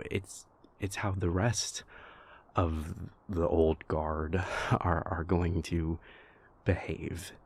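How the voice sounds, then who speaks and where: A man plays an anxious vampire prince in an intimate audio roleplay, confiding in his lover. His voice sits low and husky, with a gravelly rasp. He speaks slowly and haltingly, stumbling over and repeating words as he doubts himself. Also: heavily acted.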